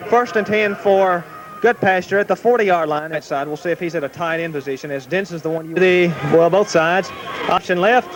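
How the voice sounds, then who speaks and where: A young man calls a high school football game at night in an outdoor stadium, keeping an even play-by-play and breaking into excited shouts on the big runs. His voice sits high for a man. The amateur 1970s tape carries a lot of hiss and noise under him. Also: brisk. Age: young adult. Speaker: play-by-play announcer. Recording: noisy amateur 1970s recording.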